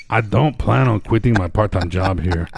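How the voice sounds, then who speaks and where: A man's voice: fairly low pitch, gravelly, background noise. A man co-hosting a casual podcast, reading news stories aloud with frequent stumbles and restarts. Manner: halting, stumbles while reading. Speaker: podcast co-host.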